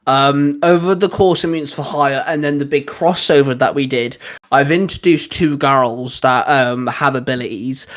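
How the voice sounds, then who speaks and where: A man's voice: mid-range voice, steady tone, moderate pace, a bit bright. A man hosting a podcast in a casual, conversational style. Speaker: podcast host and audio drama writer. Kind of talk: casual chat.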